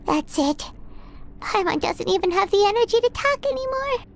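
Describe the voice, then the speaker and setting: Casting: tiny food-loving game fairy sidekick, childlike squeak at extreme height, exclamatory and animated. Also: female voice, unhurried, slight background noise, full character voice. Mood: weary. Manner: refers to self in third person, exclamatory. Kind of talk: video game voice acting. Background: game music.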